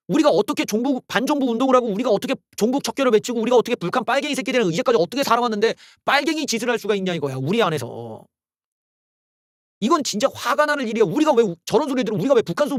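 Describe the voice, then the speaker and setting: Heated, high-pitched male political ranter. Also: lively intonation, racing, no echo, clean studio sound. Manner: heated and sarcastic, exclaiming and cursing. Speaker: political YouTube host. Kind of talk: solo political commentary rant.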